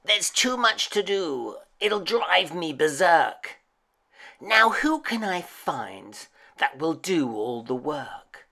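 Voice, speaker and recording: A woman's voice: low-pitched, big pitch swings, slow, sharp tone, voice pushed up, full character voice. A woman voicing a wicked, short-tempered old witch who snaps and scolds in a storybook reading. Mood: harried. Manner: snappish, scolding, screeching.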